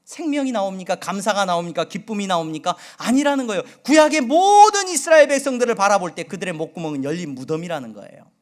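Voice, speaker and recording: A middle-aged male pastor from the Jeolla region preaching a sermon, with a slight Jeolla accent, asking his congregation many questions and moving between loud and soft delivery. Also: sits high, expressive, fast talker, hardly any pauses. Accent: Seoul Korean with occasional Jeolla dialect. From Jeolla region, Korea.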